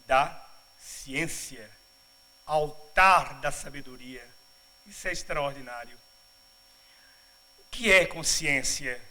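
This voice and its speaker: A middle-aged man from Bahia, Brazil, giving a spiritual lecture at a congress, mixing humorous asides with measured, didactic explanation. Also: on the high side, pushed and bright, poor audio. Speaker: congress speaker. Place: congress auditorium.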